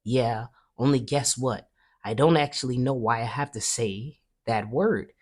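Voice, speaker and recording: A woman's voice: extremely low pitch, unhurried, darkish tone, breathy edge, exaggerated voice. A woman voicing a brash, chatty kindergarten girl in a children's storybook, emphatic and childlike. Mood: matter-of-fact. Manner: emphatic, indignant, childlike grammar. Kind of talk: children's storybook read-aloud.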